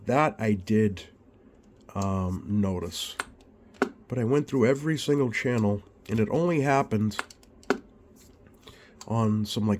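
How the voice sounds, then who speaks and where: A man with a slightly scratchy voice, getting over a cold, talks informally through a repair demonstration in a hesitant, unhurried way, thinking aloud. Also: fairly low pitch. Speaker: radio repair technician and video host. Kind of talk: informal bench demonstration vlog. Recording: clearly audible background noise.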